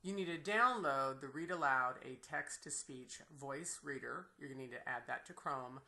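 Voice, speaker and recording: A woman giving an unscripted, enthusiastic screen-share software tutorial as a teacher, with a creaky voice. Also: very deep voice, normal speed. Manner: enthusiastic, exclaims in delight.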